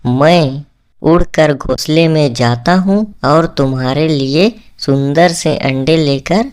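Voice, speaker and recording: A woman's voice: low voice, slow pace, darkish tone, clean tone. A woman voicing a sly, coaxing male crow in a children's cartoon story. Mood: sly, eager. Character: scheming male crow, sly flattering friend.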